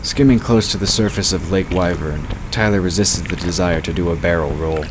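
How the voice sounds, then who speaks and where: A man with a deep voice delivers scripted fantasy narration. There is clear noise and ambience behind his reading. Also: a little fast.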